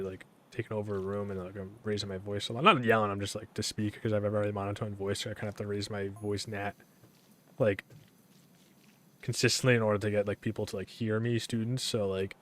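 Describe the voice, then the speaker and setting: Rambling low-voiced young man streamer. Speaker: gaming streamer. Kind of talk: casual rambling stream commentary.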